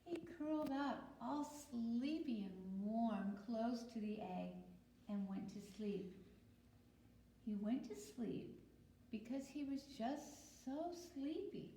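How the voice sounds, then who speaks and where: Slow-talking woman teacher with creaky voice. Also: on the high side, lively intonation, warm tone. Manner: simple, deliberate phrasing with questions to young listeners. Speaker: early childhood teacher. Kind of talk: picture-book read-aloud and talk to young children. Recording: roomy echo with noticeable hiss.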